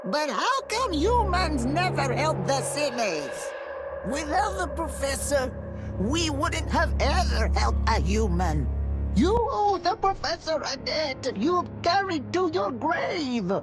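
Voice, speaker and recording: A woman voicing an indignant, haughty female chief of swamp creatures in a video game, scolding with drawn-out emphasis. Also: high-pitched, big pitch swings, exaggerated voice. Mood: indignant, bitter. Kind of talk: video game cutscene acting. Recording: loud music under the voice.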